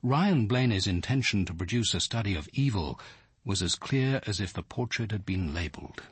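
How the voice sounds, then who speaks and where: An actor playing an observant, cultured detective narrating a radio drama in a measured, precise British voice with some huskiness. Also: male voice, lowish voice, expressive, slightly rough, no room sound. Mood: reflective, composed. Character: observant, cultured male detective narrating his own story. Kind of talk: scripted first-person drama narration.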